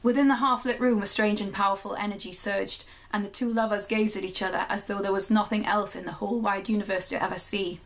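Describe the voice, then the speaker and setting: A woman's voice: medium pitch, fairly even intonation, quick pace, hardly any pauses. A young woman with a Geordie accent chatting casually on her vlog and then reading her own short story aloud, laughing at herself now and then. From North East England. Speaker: vlogger.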